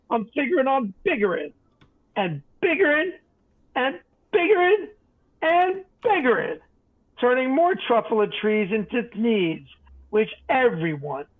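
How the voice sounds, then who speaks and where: A man's voice: high voice, voice pushed up. A man playing a greedy, self-justifying tycoon in a rhyming storybook, boastful, defiant and emphatic. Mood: angry defiance. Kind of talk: theatrical rhyming storybook character. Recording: tinny, phone-like narrow band.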